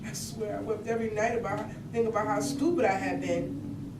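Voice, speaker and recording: A woman's voice: mid-range voice, fairly even intonation, moderate pace, lightly acted. A woman acting a wistful, disillusioned idealist activist in an earnest, emotional staged monologue. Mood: regretful, hurt. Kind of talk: staged reading of dramatic monologue. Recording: distant, echoey, very noisy room.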